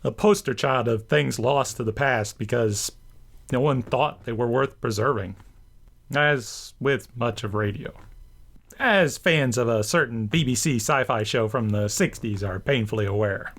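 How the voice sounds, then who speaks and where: A man's voice: mid-range voice, a little slow, fairly bright tone. A man hosting a show with scripted commentary, speaking in wordy, bookish phrasing. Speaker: host of a rant and commentary show. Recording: music behind the voice. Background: background music.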